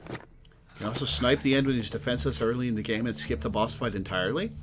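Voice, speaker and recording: Mid-pitched streamer in casual commentary. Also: fast talker, over music. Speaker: streamer reacting to game cutscenes. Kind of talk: casual live commentary, reading chat aloud.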